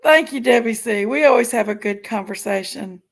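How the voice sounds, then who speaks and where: A woman hosts a casual live stream, chatting frankly and giggling as she answers viewers. Her voice sits a little high and carries a gravelly fry. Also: normal speed. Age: 40s. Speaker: livestream host. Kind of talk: casual chat reading viewer comments.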